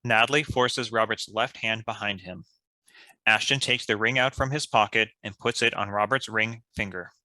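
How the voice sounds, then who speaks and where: A man's voice: on the low side, fairly even intonation, normal speed, sharp tone, no echo, low-quality recording. A man reading stage directions as scripted narration.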